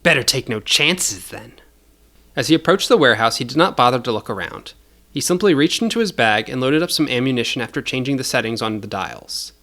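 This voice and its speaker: A man's voice: medium pitch, expressive, quick pace, fairly bright tone. A man narrating scripted fiction as a storyteller, in a dramatic style.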